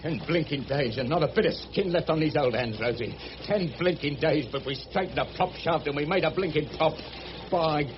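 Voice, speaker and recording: An actor playing a rough, good-hearted, middle-aged working man with a working-class English accent and colloquial speech in a radio drama. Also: fairly high pitch, normal speed, natural voice. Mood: jubilant, straining. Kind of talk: BBC radio drama acting. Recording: dull, noisy old radio recording.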